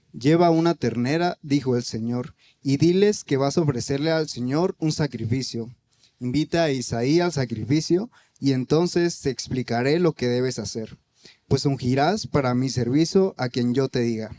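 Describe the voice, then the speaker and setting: A man, the local pastor, reading a Bible passage aloud in a steady voice. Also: mid-range voice.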